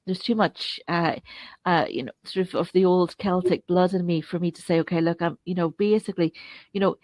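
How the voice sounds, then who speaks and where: A woman interviewer with a fairly low voice, recorded close and dry. She talks in a rambling, conversational way, often circling and repeating a phrase before she gets to the point. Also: fairly even intonation, brisk, a little mellow, no echo, low-quality recording. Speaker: interview host.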